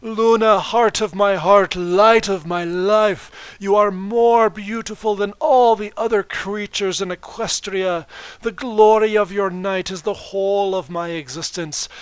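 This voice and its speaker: A man's voice: sits high, fairly even intonation, unhurried, smooth, dry recording, pitched above the performer's own voice. A man voicing a lovestruck male manticore in a story reading, declaiming florid, pleading romance. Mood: ardent pleading.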